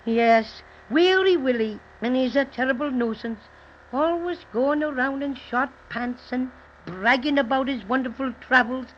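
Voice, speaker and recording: A woman playing a small, brisk, kindly toy bear guide in a 1930s radio play. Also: high voice. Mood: cautious, disapproving. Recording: muffled noisy 1930s radio recording.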